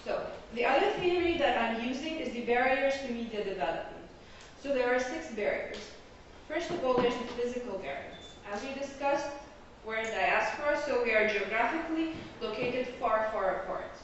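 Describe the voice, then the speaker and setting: A woman from Egypt presents graduate research in an explanatory tone, often pausing to check that her listeners follow. Her fairly high voice comes from far off in a strongly echoing room. The sound is dull and lo-fi, with a faint hiss behind it. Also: expressive. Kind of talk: academic presentation. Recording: echoey hall, distant lo-fi mic.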